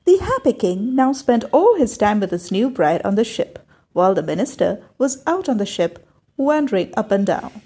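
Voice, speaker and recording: Woman telling a folktale, soft dark tone, very animated, scripted narration. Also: mid-range voice, normal speed. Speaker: storyteller.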